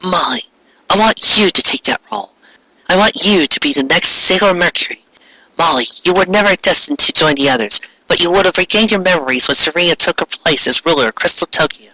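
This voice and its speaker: A man voicing a solemn, gentle queen with a formal, measured, motherly manner in a fan fiction reading. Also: on the high side, dry recording, rough recording.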